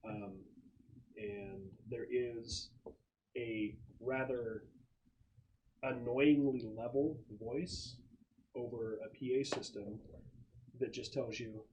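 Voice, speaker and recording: Man, game master, tabletop narration, medium pitch, halting improvised delivery, slight background noise. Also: fairly even intonation, darkish tone. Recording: noisy room, clicks.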